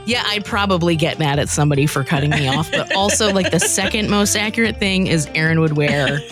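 A woman's voice: deep voice, expressive, brisk, over music. A woman co-hosting a podcast in playful casual chat, with a joking, giggly manner. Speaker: podcast host.

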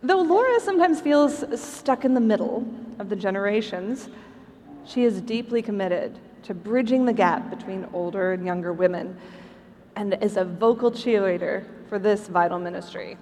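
A woman's voice: mid-range voice, normal speed, a little mellow, a little hiss or hum. A woman hosting a live stage show, delivering scripted, joking material to a convention hall. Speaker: podcast host on stage. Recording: live hall with long reverb.

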